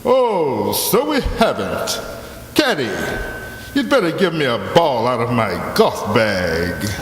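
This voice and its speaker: A man's voice: medium pitch, very animated, takes it slowly. A man acting a boastful, pompous, drawling tippler of a golfer in an old-time radio comedy. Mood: breezy. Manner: pompous, drawling, florid, grumbling. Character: boastful, big-nosed, tippling old-style comic golfer. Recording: echoey hall, noisy background.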